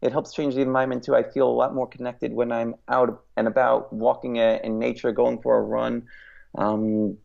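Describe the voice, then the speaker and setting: A man in his late thirties, a guest in an interview, talking in a reflective, halting way with many pauses. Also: mid-range voice, flat delivery, creaky, dull audio. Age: 30s. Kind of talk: casual interview chat.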